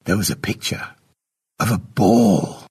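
A man's voice: on the low side, unhurried. A man reading his own short story as a scripted narration.

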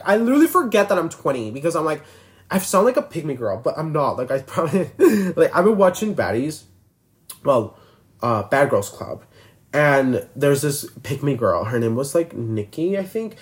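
A man's voice: a little high, big pitch swings, normal speed. A twenty-year-old man hosting a casual solo podcast, rambling and filler-heavy, constantly restarting his sentences. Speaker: podcast host.

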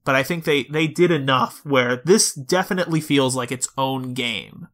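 A man's voice: medium pitch, moderate pace, a bit bright. A man co-hosting a podcast, talking through video games in a conversational, reasoned way with asides. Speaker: podcast co-host. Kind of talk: casual video game discussion.

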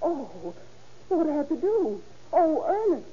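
A woman's voice: high-pitched, big pitch swings, unhurried, mellow. A woman acting a timid, flustered policeman's wife in an old radio drama, hesitant and full of breathless exclamations. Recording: dull, noisy old radio recording.

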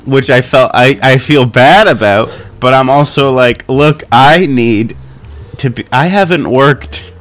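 A man's voice: mid-range voice, measured pace, a bit bright. A young man co-hosting a podcast while stuffed up with a cold, telling stories in a self-mocking, casual way. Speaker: podcast co-host.

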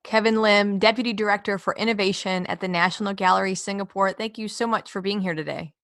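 A woman's voice: medium pitch, fairly even intonation, fast talker, fairly bright tone, dry recording, clean studio sound. A woman hosting an interview podcast, putting prepared questions and introductions to her guests.

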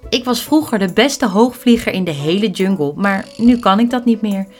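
A woman plays a tender, self-doubting bird in a children's audio drama. She speaks earnestly at a middling pitch, with music underneath. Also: animated, normal speed, darkish tone, close to an everyday voice. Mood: wistful. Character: wistful, self-doubting female bird who dreams of flying.

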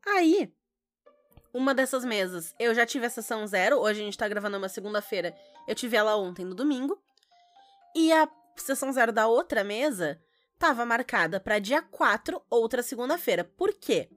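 High-voiced Brazilian woman, jokey. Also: expressive, normal speed, a bit bright, faint music behind. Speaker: podcast co-host. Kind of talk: casual bantering chat.

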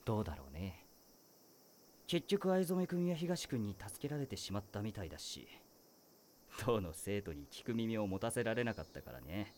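An actor voicing a weary male high-school teacher who talks in a dry, wry, world-weary way. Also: big pitch swings, moderate pace, a little mellow, slight background noise. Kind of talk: voice drama acting.

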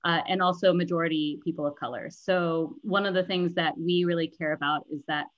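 A woman's voice: fairly low pitch, fairly even intonation, fairly quick, dry recording. A woman in her thirties from the San Francisco Bay Area, the founder of a nonprofit, answering interview questions articulately and laughing easily. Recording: dry, dull lo-fi audio.

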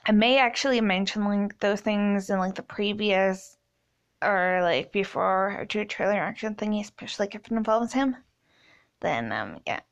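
A woman's voice: mid-range voice, animated, moderate pace, dull audio. A woman in her twenties from Canada, hosting a casual solo podcast and rambling with many fillers. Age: 20s. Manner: rambling, many ums and likes. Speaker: podcast host.

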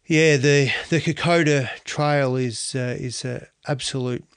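A middle-aged Australian man speaks as a podcast guest, his voice close and dry with no room sound at all. He talks earnestly, stumbling and restarting often and dropping in the odd mild swear word. His pitch sits in the ordinary middle range. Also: fairly even intonation, measured pace, clean tone, no room sound. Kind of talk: candid interview storytelling.

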